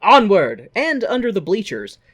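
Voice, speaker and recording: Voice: medium pitch, huge pitch swings, measured pace, a bit bright. A podcast co-host gives a casual, comedic recap of a musical film. The delivery is sweary and theatrical, breaking into mocking acted-out quotes.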